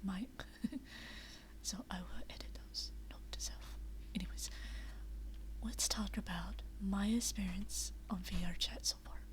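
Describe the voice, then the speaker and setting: A young woman whispering quietly at night in a living room, chatting unscripted with many fillers and restarts. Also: mid-range voice, steady tone, measured pace, long pauses, rough recording. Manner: rambling with frequent fillers and restarts. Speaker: VRChat vlogger. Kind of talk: unscripted casual chat.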